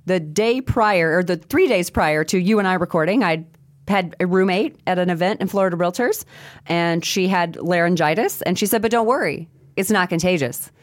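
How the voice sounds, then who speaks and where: Hoarse lady cohosting a radio show, teasing, laughs a lot. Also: fairly low pitch, normal speed. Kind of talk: casual chat.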